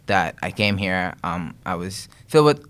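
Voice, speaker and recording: A young man from Bangladesh speaks as an interview guest, his voice sitting very low. He talks in an earnest, reflective way, often pausing on fillers and restarting his sentences. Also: expressive, fairly bright tone, smooth. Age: young adult. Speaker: guest content creator. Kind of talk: casual interview talk.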